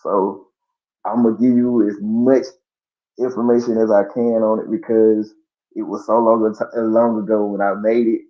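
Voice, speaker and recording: A man, speaking low and easy as he tells stories in a casual podcast interview. His talk is loose, full of slang and fillers. The audio is dull and lo-fi. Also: little pitch movement, normal speed. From Columbus, Georgia. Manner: laid-back storytelling with slang and fillers. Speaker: guest artist.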